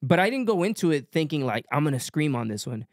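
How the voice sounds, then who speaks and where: Young man, higher voice, dry close sound, relaxed, filler-heavy chat. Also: quick pace, no echo. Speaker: musician guest. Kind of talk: casual podcast chat.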